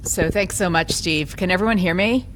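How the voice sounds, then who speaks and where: A loud-voiced woman lectures at a conference with a low-pitched voice and an articulate, lively delivery. She slips in self-deprecating asides, and faint noise sits under the recording. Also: moderate pace. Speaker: law professor giving a talk.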